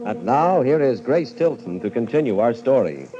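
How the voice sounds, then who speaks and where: An actor as the theatrical, ominous announcer narrating an old-time radio horror show. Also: male voice, medium pitch, very animated, moderate pace, close to an everyday voice. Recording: muffled old radio, organ music behind.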